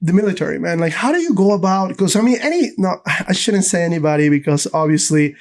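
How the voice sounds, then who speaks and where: A man with a high voice hosts a casual podcast conversation. He comes from Ecuador and talks in an effusive, informal way, turning between his guest and his listeners. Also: lively intonation, quick pace. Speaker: podcast host. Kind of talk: enthusiastic casual chat.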